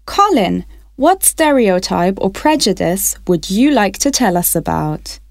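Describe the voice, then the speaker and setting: A woman from Wales hosts a radio phone-in with a measured, polite delivery. Her pitch moves up and down freely. The sound is close and dry, with no room around it. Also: big pitch swings, vocal fry, dry recording. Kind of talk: scripted radio phone-in presenting.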